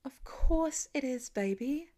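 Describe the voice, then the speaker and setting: A woman's voice: high-pitched, animated, slow, dark tone, voice pushed up. A woman voicing a loving, practical mother in a storybook reading, soothing and matter-of-fact.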